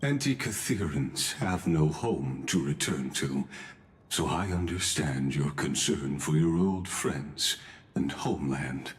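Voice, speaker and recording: Deep-voiced, slow, courteous scholar. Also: male voice, animated, exaggerated voice. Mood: deferential, careful. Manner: formal, deferential, measured. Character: courteous, scholarly, humble male retainer with gentle temper. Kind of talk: video game character acting.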